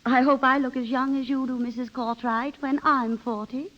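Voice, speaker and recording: A woman's voice: high voice, little pitch movement, moderate pace, lightly acted. An actress playing a vain, flirtatious American wife in her thirties, gushing and affected, in 1930s radio drama style. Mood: gracious. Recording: dull 1930s radio broadcast recording.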